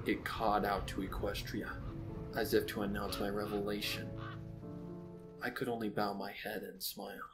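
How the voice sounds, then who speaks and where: A man's voice: fairly low pitch, little pitch movement, moderate pace, a bit bright, faint music behind. A man voicing a sorrowful, reflective pony widower in a solemn, theatrical fan fiction reading. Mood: wistful acceptance. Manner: solemn, theatrical, anguished delivery. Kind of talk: dramatic fan fiction narration over music.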